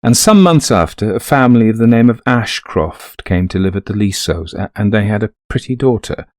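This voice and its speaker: An actor playing a middle-aged, greying widower in a ghost story, confiding an eerie haunting to a friend in genteel, old-fashioned phrasing. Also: male voice, fairly low pitch, animated, normal speed, darkish tone, no room sound, clean studio sound, natural voice. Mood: fond, reminiscent. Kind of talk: dramatised first-person ghost story narration.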